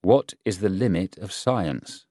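A man's voice: low-pitched, expressive, measured pace, mellow, dry recording, studio quality. A man voicing a guarded, restrained professor in an audiobook, answering questions carefully. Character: guarded, restrained male applied-research professor.

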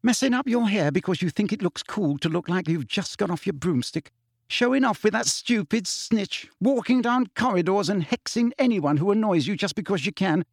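A sharp, scornful teenage schoolgirl, played by a man reading a storybook. The voice sits high and swings widely as it scolds, indignant and forthright, in a very dry recording. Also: sing-song, moderate pace, few pauses, husky, no echo, heavily acted. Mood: furious scolding.

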